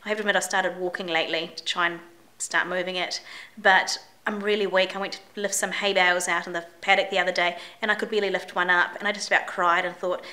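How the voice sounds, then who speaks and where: Chatty, slightly croaky New Zealand woman. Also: lowish voice, little pitch movement, fast, pushed and bright. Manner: candid and chatty, many fillers and restarts. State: croaky voice from a chest infection. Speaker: vlogger. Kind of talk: personal video diary.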